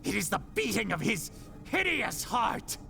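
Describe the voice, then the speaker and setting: A man playing a mad, cunning murderer confessing in a dramatic gothic horror reading, theatrical, shifting between hushed tones and cries. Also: high-pitched, very animated, unhurried, gravelly, soft background music, voice pushed up, full character voice. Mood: frantic, raving. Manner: theatrical, swinging from hushed whispers to shrieks.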